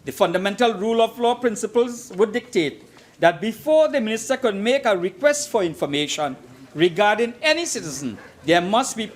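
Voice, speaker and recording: A man speaking as a member of parliament in a formal debate, making his points emphatically and deliberately and repeating phrases for weight. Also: high-pitched, lively intonation. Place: parliament chamber.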